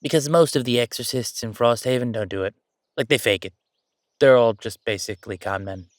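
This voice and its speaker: A man's voice: mid-range voice, brisk, no echo, studio quality, natural voice. A man playing a chatty, easygoing ghost who died at thirty-five, rambling casually with wry asides in an audio roleplay. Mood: dismissive, amused. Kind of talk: conversational audio roleplay monologue.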